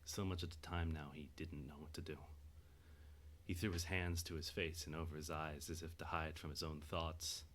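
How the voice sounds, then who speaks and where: A man with a very deep voice narrates an audio drama. His scripted delivery is dramatic, and there is faint noise behind him. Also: little pitch movement, moderate pace, fairly bright tone.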